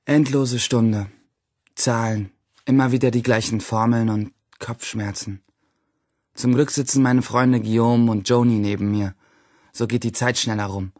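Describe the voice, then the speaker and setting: A man's voice: mid-range voice, steady tone, unhurried, darkish tone, dry recording, clean studio sound, close to an everyday voice. A man playing a wry, boastful teenage schoolboy in a radio drama, narrating his day in short clipped phrases. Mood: wry, bored. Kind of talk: first-person audio drama monologue.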